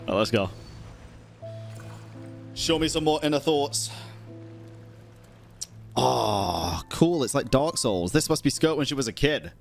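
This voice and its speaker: A man's voice: medium pitch, lively intonation, takes it slowly, creaky, long pauses. A man giving casual live reaction commentary as a game streamer, talking in slangy, profane bro-speak. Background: game music.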